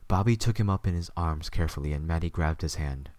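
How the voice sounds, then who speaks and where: A man's voice: low-pitched, fast talker, a little mellow, no room sound. A man narrating a scripted story for an audio drama.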